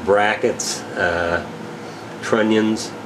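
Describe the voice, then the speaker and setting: Man, fairly low voice, casual technical rundown, halting delivery, matter-of-fact, noticeable background noise on a home recording. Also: fairly even intonation. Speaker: radio equipment reseller presenting gear. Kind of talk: casual unscripted product rundown.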